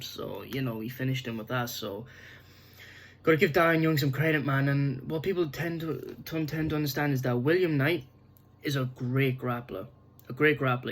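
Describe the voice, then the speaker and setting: A young man with a Welsh accent chats loosely through his fight picks, stumbling over names and restarting his sentences. His voice sits mid-pitch and moves very little in tone, with a flat, level delivery throughout. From Wales. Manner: rambling, frequent fillers and restarts, candid. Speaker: MMA betting tipster. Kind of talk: casual fight predictions to camera.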